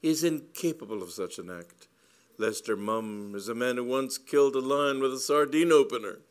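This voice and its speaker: A man's voice: mid-range voice, moderate pace, a little hiss or hum, natural voice. Storybook matron, played by a male reader: formidable, imperious, cold and commanding, declaiming her indignation. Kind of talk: comic storybook reading.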